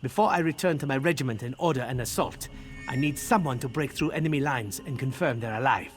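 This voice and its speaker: An actor playing a gruff, sardonic military commander in a fantasy video game, speaking bluntly with folksy humor. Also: male voice, medium pitch, fast, lightly acted. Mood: businesslike. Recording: game dialogue over prominent music.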